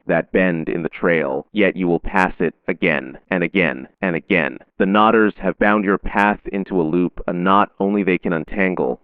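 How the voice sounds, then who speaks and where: A man narrating a scripted horror safety bulletin in a measured, solemn, formal announcer manner. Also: deep voice, clear, resonant tone, no echo, narrow, tinny audio.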